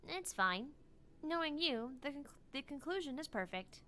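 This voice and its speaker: A woman's voice: high-pitched, lively intonation, normal speed, a bit bright. A woman voicing a small, earnest, guarded young female researcher in a visual novel. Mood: reserved. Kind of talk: visual novel read-aloud.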